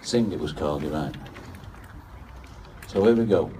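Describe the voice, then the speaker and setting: A man's voice: a bass voice, animated, moderate pace, warm tone, a little hiss or hum. A man speaking informally as an announcer at a public memorial gathering. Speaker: lead singer and announcer at a memorial. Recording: distant mic at a public gathering.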